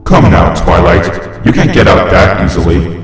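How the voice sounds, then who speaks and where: A man voicing a possessive living stuffed toy that talks with slow, falsely friendly menace in a horror story reading. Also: sits low, faint music behind, voice pushed down. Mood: taunting. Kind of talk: horror fan fiction reading. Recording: heavy cavernous echo and long reverb.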